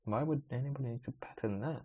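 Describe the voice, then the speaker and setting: A man's voice: mid-range voice, quick pace, slightly rough. A man whose first language is Spanish, hosting a casual solo podcast, thinking aloud in a rambling, wry way that often breaks off mid-sentence. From Spanish-speaking country, moved to the UK at age ten. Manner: rambling, self-interrupting, wry. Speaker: podcast host. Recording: slightly dull, lo-fi recording.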